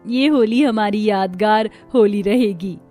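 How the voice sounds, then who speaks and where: A woman's voice: mid-range voice, unhurried, warm tone, soft background music, lightly acted. A woman voicing an eager, dreamy young village daughter-in-law in a cartoon story, earnest and emphatic.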